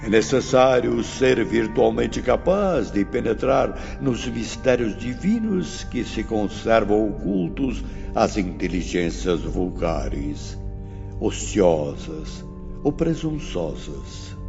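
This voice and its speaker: Casting: a man playing a stern, learned spirit teacher for audiobook drama; grave, oratorical, mellow and very expressive, in a long echo. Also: lowish voice, gravelly, heavily acted. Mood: grave, instructive. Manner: solemn, oratorical, emphatic, measured. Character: stern, learned male spirit teacher lecturing his pupils. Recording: muffled, long reverb, music behind.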